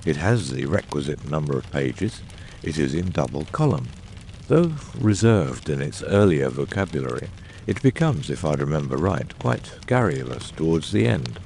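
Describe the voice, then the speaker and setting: A man acts out a brilliant middle-aged detective in an audiobook drama, with a very low, creaky voice. He is exacting and theatrical, phrasing eruditely with wide swings of pitch and deliberate pauses. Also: very animated, a little mellow, a little hiss or hum, exaggerated voice. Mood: analytical.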